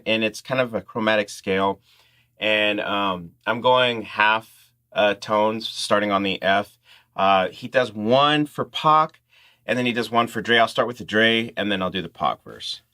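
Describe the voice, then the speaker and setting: A man's voice: on the low side, normal speed, bright, forceful tone. A man hosting an informal music tutorial, explaining things step by step in instructional talk.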